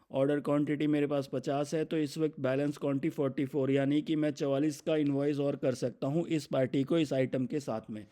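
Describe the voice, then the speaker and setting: An Indian man presenting a software demo, narrating step by step in an instructional way and mixing in English terms. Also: on the high side.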